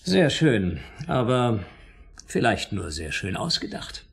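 A man's voice: mid-range voice, big pitch swings, slow, vocal fry, exaggerated voice. A man acting in a German radio drama as a sardonic, sceptical police inspector, mocking and probing in his questioning.

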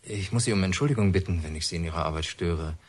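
Courteous low, husky psychologist. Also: male voice, fast talker, a little mellow. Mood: polite, flattering. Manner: polite, hesitant, formal. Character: courteous, ingratiating male visiting psychologist. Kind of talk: German radio drama acting.

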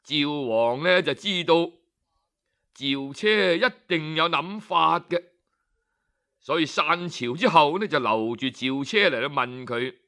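Hong kong cantonese storyteller guy, high bright voice, takes his time, dramatic pauses. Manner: leisurely, expressive, with drawn-out particles and dramatic pauses.

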